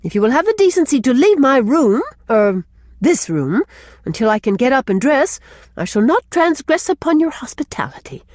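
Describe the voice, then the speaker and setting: A woman's voice: high voice, sing-song, normal speed, darkish tone, no room sound, studio quality. Woman doing a snooty offended young lady from a storybook, formal and sarcastic, voice goes up and down a lot. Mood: haughty anger. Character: haughty, indignant young woman on her dignity. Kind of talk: audiobook character voice.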